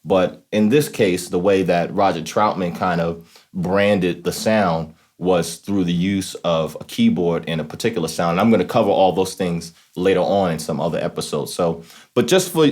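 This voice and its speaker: Low-voiced man giving a casual tutorial. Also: moderate pace, darkish tone. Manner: informal, explanatory, often checks in with "right?". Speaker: host of a talk box tutorial series. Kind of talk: casual instructional demonstration.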